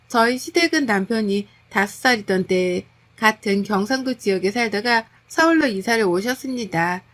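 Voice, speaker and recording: A woman reading a scripted first-person story as its narrator. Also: mid-range voice.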